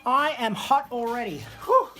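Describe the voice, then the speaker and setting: Woman, children's story time host: camp, exclamatory, lively melodic range, unhurried pace. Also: fairly high pitch. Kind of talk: animated storybook reading to camera. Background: disco music.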